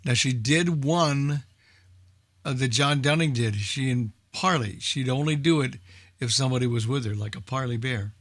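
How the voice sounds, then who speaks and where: A man's voice: medium pitch, normal speed, fairly bright tone. An older man chatting casually as a knowledgeable old-time radio enthusiast, hesitant and thinking aloud. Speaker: old-time radio expert on a group call. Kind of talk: casual group discussion.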